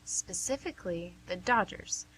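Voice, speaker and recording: A woman's voice: lowish voice, animated, fairly bright tone, low-quality recording. A woman acting as a wry, knowing nurse who teases and probes in an amateur radio play, recorded with some hum. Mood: knowing, teasing. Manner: teasing, probing, deliberate.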